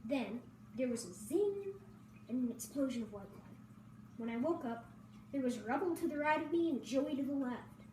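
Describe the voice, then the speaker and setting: High female voice, story narration, first person, distant mic, echoey room. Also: lively intonation, normal speed, warm tone. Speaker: reader at a table read. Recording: roomy echo, distant mic, faint noise.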